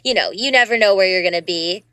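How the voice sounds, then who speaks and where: A woman's voice: medium pitch, quick pace, bright, forceful tone, clear, resonant tone, no echo. A young woman in her twenties with a youthful voice, chatting freely as an interview guest. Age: 20s. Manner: youthful, upbeat, talks freely with many "you know"s. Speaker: guest, dancer and voice actor. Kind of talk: casual interview chat.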